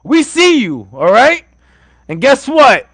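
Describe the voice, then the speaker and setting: A man's voice: very high voice, wildly swooping pitch, slow, fairly bright tone, no echo. A man co-hosting a podcast, talking in a rowdy, joking way and swearing a lot. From Puerto Rico. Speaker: podcast co-host. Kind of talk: casual profane banter.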